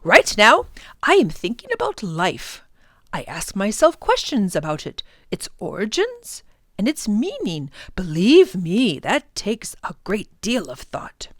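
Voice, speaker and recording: A polite, genial male professor from a storybook, as a woman reading aloud puts him on. He speaks slowly and gently, with a lively, rising and falling lilt. The sound is close and dry. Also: mid-range voice, no room sound, exaggerated voice. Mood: thoughtful. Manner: gentle and courteous. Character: kindly, polite middle-aged male professor.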